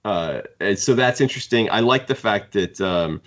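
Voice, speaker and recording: A man hosting a podcast, casually discussing books in a halting, opinionated fan's way. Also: on the low side, expressive, fairly bright tone. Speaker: podcast host. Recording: dull lo-fi podcast audio.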